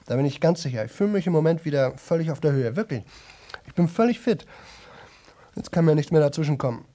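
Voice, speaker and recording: A man's voice: fairly high pitch, animated, fast, dark tone, close to an everyday voice. An actor playing a boastful young man who talks nonstop to an audience in a radio play, chatty, rambling and repetitive. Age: young adult.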